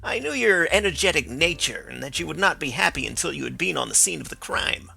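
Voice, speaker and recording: A man's voice: a little high, big pitch swings, a little fast, creaky, pushed and bright, faint music behind, full character voice. A man voicing an eager police inspector.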